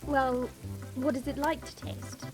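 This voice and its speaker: A woman playing a haughty, quick-tempered young dragon princess turned human, imperious and formal, in an audio drama. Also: high voice, little pitch movement, music in the background. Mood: curious, matter-of-fact. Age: 20s.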